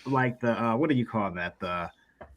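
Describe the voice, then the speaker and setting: A man with a mid-range voice hosts a livestream in a casual, chatty style. He rambles along encouragingly, often pausing to search for the right word. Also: medium pitch, lo-fi sound. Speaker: livestream show host. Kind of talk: casual livestream interview chat.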